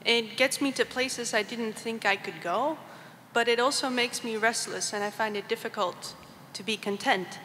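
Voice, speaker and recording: A young woman student reading a prepared question in measured, polite English. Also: on the high side, sharp tone. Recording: long gymnasium reverb, faint hiss.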